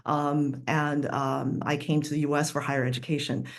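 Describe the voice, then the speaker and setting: A woman's voice: low-pitched, flat delivery, moderate pace. A woman from Taiwan, a professor speaking on a webinar panel over a video call, thoughtful and working through long layered sentences with many fillers. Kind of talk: academic webinar discussion.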